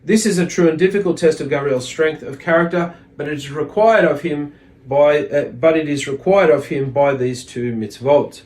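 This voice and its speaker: A man's voice: fairly high pitch, normal speed, a little mellow. A man giving an informal religious lesson, reading aloud and explaining in a hesitant, reflective manner. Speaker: religious teacher.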